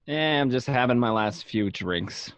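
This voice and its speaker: A man's voice: medium pitch, expressive, unhurried, no echo, close to an everyday voice. A man playing a cynical, streetwise gangster who tells his own crime story in a radio drama. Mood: resigned. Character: cynical streetwise young mobster narrating his own arrest. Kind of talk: first-person crime drama narration. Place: home, remote online recording.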